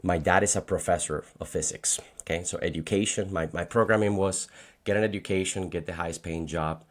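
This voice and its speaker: A man's voice: low-pitched, fairly even intonation, fairly quick. A man around forty with a Colombian accent and Spanish as his first language, talking conversationally as a podcast guest. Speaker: podcast guest, financial agent. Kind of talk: conversational personal storytelling.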